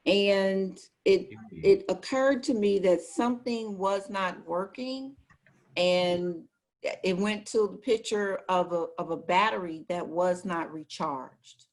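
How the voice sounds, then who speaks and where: Woman, mid pitch, thoughtful, measured, video call. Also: darkish tone. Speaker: workshop participant. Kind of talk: sharing a reflection.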